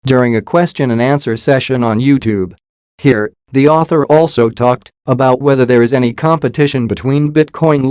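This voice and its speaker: A man's voice: medium pitch, darkish tone, no room sound, clean studio sound. A man reading a scripted explainer narration in a formal way.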